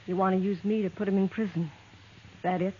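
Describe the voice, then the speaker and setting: A woman's voice: mid-range voice, fairly even intonation, fast, a little hiss or hum, natural voice. Woman doing a shaky earnest young gal, stammers a bit, thin tinny old radio sound. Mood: wary. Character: young woman, torn and pleading, in love with an outlaw. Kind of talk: 1950s radio drama acting. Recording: tinny vintage radio transcription.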